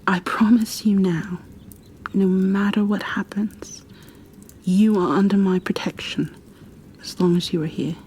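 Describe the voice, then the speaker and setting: Woman acting a protective creature bookkeeper, mellow hushed voice, slow soothing delivery, hesitant, ASMR roleplay. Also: mid-range voice, steady tone, a little hiss or hum, close to an everyday voice. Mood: soothing, sad. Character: gentle, protective creature bookkeeper sheltering an injured guest. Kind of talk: soft-spoken ASMR roleplay.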